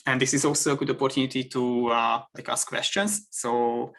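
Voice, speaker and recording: A man's voice: mid-range voice, steady tone, moderate pace, gravelly, fairly bright tone. A man with a Hungarian accent, a native Hungarian speaker, chatting as a guest on a video call, talking hesitantly with many fillers and restarts. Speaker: guest engineer. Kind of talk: casual chat.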